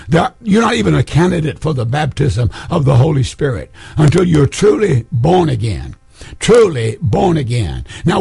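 A hoarse man preaching with a rough, gravelly rasp and a slightly raised pitch. He presses his points hard and repeats key phrases. The sound is close and dry, with almost no room around it. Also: darkish tone, no echo. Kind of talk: Pentecostal sermon teaching.

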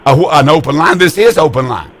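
A man's voice: fairly high pitch, animated, fast talker, gravelly, fairly bright tone. An eighty-year-old man hosting a call-in religious broadcast, preaching in a folksy, repetitive way with frequent stammered restarts. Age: older. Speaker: radio preacher taking calls.

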